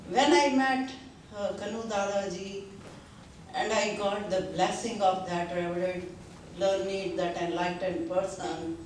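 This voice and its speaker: Slow, halting older woman in echoey hall. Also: mid-range voice, a little hiss or hum. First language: Hindi. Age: 70s. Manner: hesitant, many pauses and fillers. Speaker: seminar speaker. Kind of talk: halting speech to a gathering. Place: seminar hall. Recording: very echoey hall, distant mic.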